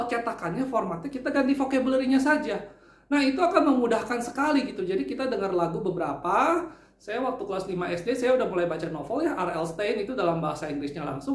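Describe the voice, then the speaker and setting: A man from Indonesia casually giving tips as the channel host, animated and chatty, dropping English phrases into his talk. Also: sits high. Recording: roomy echo.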